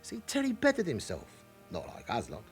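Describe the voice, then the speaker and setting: An actor playing an evasive, chatty forty-year-old London club owner with an underworld past in a radio drama. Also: male voice, medium pitch, wildly swooping pitch, brisk, breathy edge, faint music behind, heavily acted. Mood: pleading, protective.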